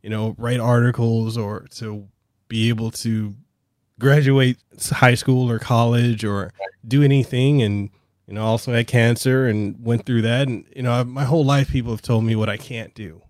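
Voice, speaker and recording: A man hosting a podcast interview as a games journalist, who talks in an earnest, halting way with many "you know" fillers. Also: mid-range voice, fairly even intonation, a little mellow, no room sound, studio quality.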